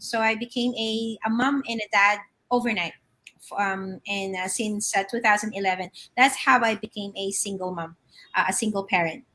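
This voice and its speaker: A woman's voice: medium pitch, bright, forceful tone, low-quality recording. A middle-aged woman from the Philippines, a guest on a live video-call interview from her home office, telling her story with many fillers and restarts.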